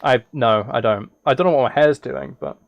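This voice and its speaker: A man chatting casually on a gaming livestream, rambling haltingly with playful, mock-serious dry humour. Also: medium pitch, vocal fry, muffled recording, slight background noise. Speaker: live streamer.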